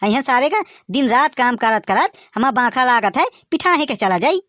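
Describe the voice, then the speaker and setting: A man's voice: extremely high pitch, expressive, moderate pace, background noise. A man with a Bagheli accent voicing a joking rustic village husband who also narrates the cartoon. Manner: folksy, joking, exclaiming.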